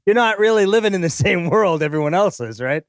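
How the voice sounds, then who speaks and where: A man co-hosting a casual film podcast, animated and blunt, with quick agreements and the odd swear. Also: sits high, dry recording, clean studio sound. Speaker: podcast co-host.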